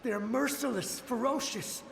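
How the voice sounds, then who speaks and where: A haunted game soldier: high-ish, frantic, pleading; echoey reverb. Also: male voice, creaky, a little hiss or hum, heavily acted. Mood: frantic warning. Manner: desperate, pleading, halting. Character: shell-shocked ghostly soldier, desperate, devoted. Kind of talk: video game dialogue. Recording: echoey, reverberant, faint music.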